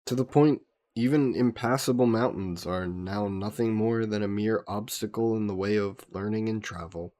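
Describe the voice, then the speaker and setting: A man playing a gentle, wistful immortal vampire scholar in an intimate audio roleplay, courteous and reflective, musing with hesitant pauses. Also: on the low side, natural voice.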